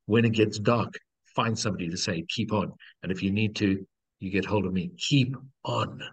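Man, middle-aged, from South Africa, very low voice, husky, earnest storytelling, encouraging. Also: moderate pace, rough recording. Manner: earnest, encouraging, repeats key phrases. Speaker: life coach guest. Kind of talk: reflective storytelling in conversation.

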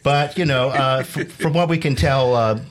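A man with an average-pitched voice speaks in a casual, easygoing way. He is an improviser who sounds encouraging. He sometimes halts and feels for the next phrase. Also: moderate pace, very noisy. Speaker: improv comedy troupe member and guest. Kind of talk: casual chat and improvised scene dialogue.

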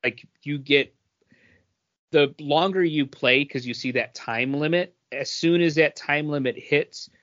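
A man's voice: a little high, a little slow, fairly bright tone, dull audio. A man co-hosting a podcast, eagerly explaining how a mobile game works in casual chat. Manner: explains eagerly, talks over others. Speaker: podcast co-host.

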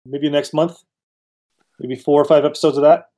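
A man's voice: on the high side, fairly even intonation, moderate pace, mellow. A man co-hosting a casual chat show, with a dry, joking way of talking. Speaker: podcast co-host. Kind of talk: casual chat reviewing old radio serials.

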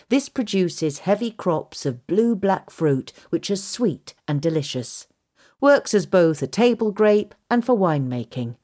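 Low-voiced woman, scripted narration. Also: darkish tone. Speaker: magazine article reader.

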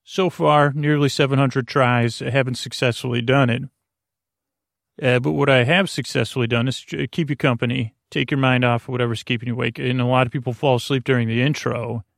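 A man with a creaky voice hosting a sleep podcast, giving a soothing, meandering bedtime monologue full of tangents, hesitations and filler words. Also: medium pitch, fairly even intonation, fairly quick, no room sound, clean studio sound. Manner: soothing, lulling.

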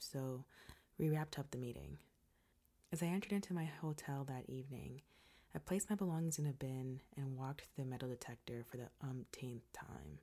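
A woman narrating a personal story in a soft, breathy, measured way. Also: a bass voice.

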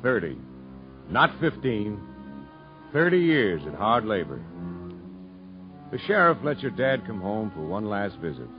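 An actor playing a grave storyteller, narrating a family's past in a 1950s radio drama. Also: male voice, fairly low pitch, big pitch swings, measured pace, pauses often. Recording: dull 1950s radio broadcast over music. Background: music.

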